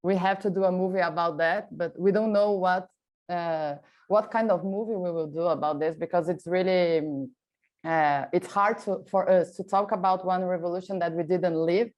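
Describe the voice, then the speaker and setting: A woman with a Brazilian Portuguese accent and a somewhat low voice recounts events in an easy, chatty way. She is a film director speaking English as a second language. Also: steady tone, normal speed, darkish tone, muffled recording. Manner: casual, chatty storytelling with fillers. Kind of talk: festival Q&A answers.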